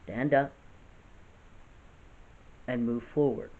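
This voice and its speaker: A man in his late twenties with a gravelly voice, talking earnestly and unscripted in a vlog, often restarting his sentences. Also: medium pitch, steady tone, takes it slowly. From United States. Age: 20s. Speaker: vlogger. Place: house with poor lighting. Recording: tinny phone-like audio.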